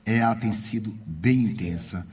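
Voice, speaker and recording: A man's voice: fairly low pitch, normal speed, a little mellow, recorded from a distance, a little hiss or hum. A man with a Brazilian accent interviewing a guest on the radio, effusive and formal, asking long winding questions. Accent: Brazilian Portuguese.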